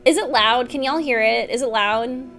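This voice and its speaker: A woman's voice: fairly high pitch, moderate pace, fairly bright tone. A woman game streamer giving casual, exclamatory live reactions as she plays. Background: game music.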